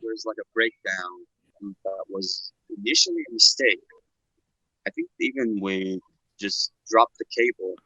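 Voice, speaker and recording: A man, a band member guest, answering in a halting way and repeating words as he restarts. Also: lowish voice, sharp tone, breathy edge, no echo, muffled recording. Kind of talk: casual interview answers.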